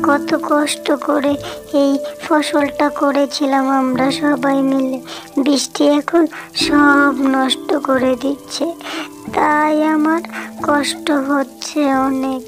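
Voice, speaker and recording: Young daughter for village cartoon, adult female actor, high, earnest, plaintive, drawn-out delivery, music underneath. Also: level tone, poor audio. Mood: tearful, dejected. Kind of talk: cartoon voice acting.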